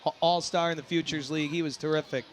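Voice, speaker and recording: High-pitched male baseball play-by-play announcer. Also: quick pace, slight background noise. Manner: excitable, shouts on big plays. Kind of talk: live sports commentary. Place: outdoor baseball ballpark.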